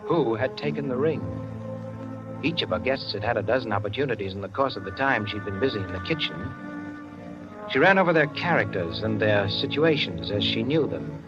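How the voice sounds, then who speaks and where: A man narrating a scripted 1940s radio drama in a measured, literary, dramatic manner. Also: lowish voice, lively intonation, lightly acted. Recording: muffled 1940s radio recording with music.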